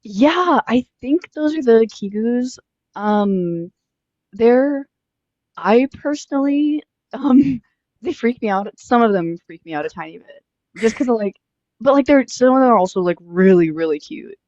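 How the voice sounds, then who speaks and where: A woman's voice: on the high side, expressive, measured pace, a little mellow, no echo, highs cut off. A woman in her twenties doing a casual street interview, chatty and friendly with lots of fillers. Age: 20s. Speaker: host interviewing strangers.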